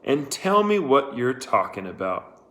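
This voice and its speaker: A man voicing a drunken, menacing small-town sheriff in a storybook, leering in a slow drawl. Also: medium pitch, sing-song, fairly bright tone. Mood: lazy, dismissive.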